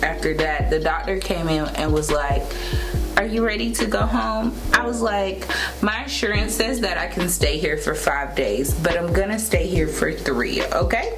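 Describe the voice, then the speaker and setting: Low-voiced young woman over loud music. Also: normal speed. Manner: candid, emphatic, acts out reported dialogue. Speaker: vlog co-host and new mother. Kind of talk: casual storytelling chat. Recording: loud music under the talk.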